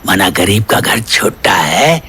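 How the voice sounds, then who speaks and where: A man's voice: sits low, very animated, slow pace, bright, forceful tone, a little hiss or hum, full character voice. A man playing an eerily courteous old innkeeper with a Rajasthani accent and a humble manner in an audio horror drama. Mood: humbly insistent. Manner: humble, deferential. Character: half-burnt old bidi-smoking male sarangi player, eerily courteous innkeeper.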